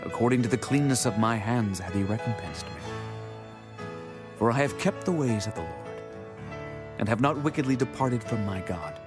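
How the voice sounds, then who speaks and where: An actor playing a devout warrior king, reciting scripture in a reverent, declamatory, deliberate way. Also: lowish voice, expressive, music in the background, lightly acted. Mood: reverent, grateful. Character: devout warrior king singing God's praise in verse.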